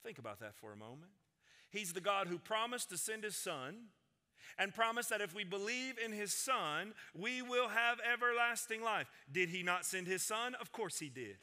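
A man in his forties, a senior pastor, preaching a sermon from a church stage, swinging between loud proclamation and hushed intensity. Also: high voice, expressive, moderate pace. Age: 40s. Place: large church stage with piano and guitars. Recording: sanctuary with long reverb.

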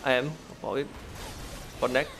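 A man's voice: medium pitch, flat delivery, normal speed, fairly bright tone, slight background noise. A man giving casual gameplay commentary in non-native, broken English, thinking aloud in short, repeated phrases. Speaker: gaming video host.

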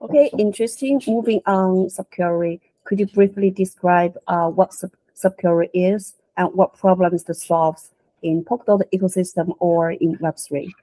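A woman's voice: fairly low pitch, moderate pace, dark tone, no room sound, low-quality recording. A young woman hosting a video call interview and asking prepared questions with some "uh" fillers. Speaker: interview host. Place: indoor office room.

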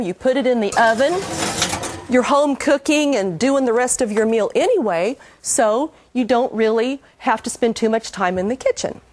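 A woman's voice: a little high, no echo. Chatty woman hosting a cooking show. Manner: chatty, gives tips straight to camera. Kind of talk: friendly cooking demonstration. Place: indoor TV studio kitchen set.

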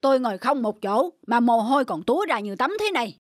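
A woman voicing a greedy, anxious middle-aged rich man in an animated folk tale, grumbling and fretful. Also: a little high, brisk, no room sound, clean studio sound. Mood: grumbling, condescending. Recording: music under the voice.

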